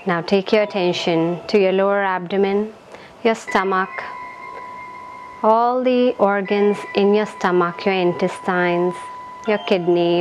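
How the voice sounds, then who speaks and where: A woman leading a guided meditation in a calm, gentle, soothing manner, giving instructions in short phrases with long pauses. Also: medium pitch, a little hiss or hum, low-quality recording.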